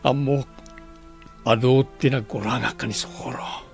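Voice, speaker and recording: An earnest, prayerful husband played by a man in a radio drama. His medium-pitched voice is gravelly and breathy, with music running behind it. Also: steady tone, normal speed, natural voice. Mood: anguished, pleading. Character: anguished wealthy husband praying for his wife.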